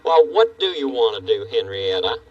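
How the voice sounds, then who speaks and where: A man's voice: on the low side, sing-song, normal speed, darkish tone, far from the mic, lo-fi sound. A man playing a friendly, eager, curious puppet neighbor on a children's show, full of folksy exclamations. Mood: concerned. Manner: folksy exclamations, boyish enthusiasm.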